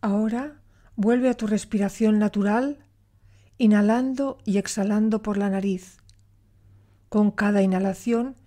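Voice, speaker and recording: A woman leading a guided meditation in a soothing, deliberate manner, leaving long pauses between short phrases. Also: mid-range voice, dark tone.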